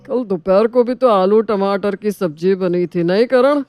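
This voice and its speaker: Older father-in-law for a family tale, played by a woman: food-loving, clear smooth voice, dry sound with music behind. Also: medium pitch, unhurried, a little mellow, no room sound, lightly acted. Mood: mildly complaining.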